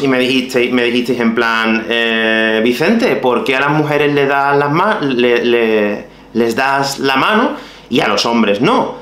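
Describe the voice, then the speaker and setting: Man, 30s, softened Andalusian accent, language teacher, lively pitch, talks to viewers, echoey small room. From Málaga, Spain. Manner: animated, gestures with his hands, addresses viewers directly. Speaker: Spanish teacher. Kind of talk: explaining to the camera for language learners.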